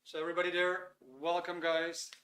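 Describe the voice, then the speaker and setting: A man's voice: sits high, completely flat delivery, sharp tone. A man in his fifties with a Dutch accent, hosting a live webinar in English, talking at an easy pace and sometimes searching for words. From Netherlands. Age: 50s. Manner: unhurried, searching for words, many fillers. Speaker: webinar host.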